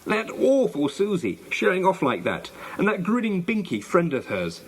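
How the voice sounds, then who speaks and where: A man voicing a bossy, quick-tempered young girl in a children's storybook, scolding and exasperated. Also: fairly high pitch, very animated. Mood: scornful. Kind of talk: children's storybook character reading.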